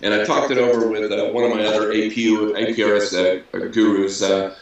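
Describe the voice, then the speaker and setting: Man in his 60s, raspy and bassy, low voice, hesitant with lots of fillers, heavy echo from a PC capture. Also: level tone, brisk, dull audio. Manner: hesitant, many fillers and restarts. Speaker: interview guest. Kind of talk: casual interview over a video call. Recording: echoey audio captured from a PC.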